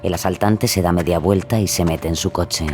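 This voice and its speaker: A man's voice: sits low, fairly even intonation, normal speed, darkish tone. A man reading dramatic scripted true-crime narration in a suspenseful storytelling manner. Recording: clear background ambience noise.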